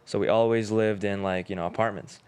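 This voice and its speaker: A man's voice: fairly low pitch, steady tone, brisk, a little mellow, smooth, no room sound. A man in his twenties from Uzbekistan, a native Russian speaker, telling his own story casually and informally as a podcast guest. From Tashkent, Uzbekistan. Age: 20s. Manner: informal, slangy.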